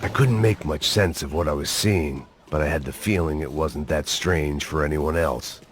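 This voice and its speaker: An actor with an American accent playing a weary, cynical middle-aged ex-cop in a game, narrating in a deadpan, sardonic noir voice-over. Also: male voice, a bass voice, moderate pace, noisy background. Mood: grim, sardonic.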